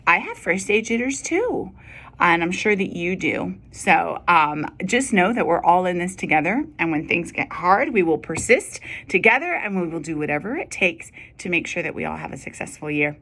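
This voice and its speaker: A woman's voice: on the low side, sing-song, moderate pace, fairly bright tone. A woman educator reading a children's storybook aloud and speaking directly to her young listeners. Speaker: school educator reading to students.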